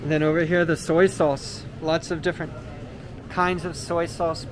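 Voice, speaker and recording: A man's voice: on the high side, normal speed, a crowd in the background. A man hosting a vlog, casually explaining products as he walks through a large indoor supermarket, with many fillers and a camera mic. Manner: relaxed, explanatory, frequent uh fillers. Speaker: vlog host. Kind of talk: casual walking tour commentary. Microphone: handheld camera mic while walking. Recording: noisy store background. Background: store ambience noise.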